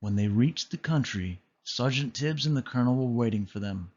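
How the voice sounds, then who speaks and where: A man reading a children's story aloud as storyteller, with expressive narration. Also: mid-range voice, normal speed, muffled recording.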